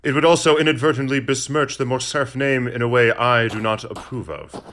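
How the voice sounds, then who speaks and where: An actor playing a cold, commanding aristocratic count in an audio drama, speaking in a formal, measured and imperious way. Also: male voice, mid-range voice, slight background noise, natural voice. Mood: composed, assured. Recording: long reverb, loud music behind.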